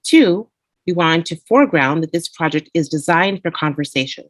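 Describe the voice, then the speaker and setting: A woman with a deep voice reads a scripted podcast introduction as the playwright. The recording is very dry, with no room sound. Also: expressive, moderate pace, no echo.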